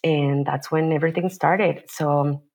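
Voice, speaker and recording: A woman's voice: low voice, fairly even intonation, unhurried, a little mellow. A woman from Mexico City with a Mexican accent, a native Spanish speaker, talking casually and reflectively as an interview guest, thinking aloud as she goes. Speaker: podcast guest, visual artist.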